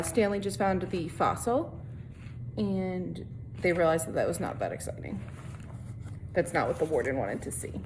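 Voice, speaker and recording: A woman's voice: medium pitch, rough recording. A woman with a mid-range voice reads a story aloud. She is informal and sometimes rereads a phrase. Faint noise sits behind her voice. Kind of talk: read-aloud story narration with brief casual asides.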